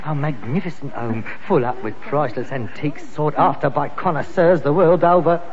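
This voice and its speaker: An actor playing a frustrated grown-up son in a British sitcom, a London junk trader with big ideas and a sarcastic, indignant manner. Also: male voice, on the high side, normal speed, natural voice. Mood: bitter, incredulous. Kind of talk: 1960s British sitcom acting. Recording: dull, muffled old sitcom recording.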